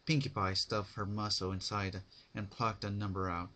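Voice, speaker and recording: A man giving a dramatic scripted story narration, phrased deliberately in short, halting chunks. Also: fairly low pitch, flat delivery, heavy background noise.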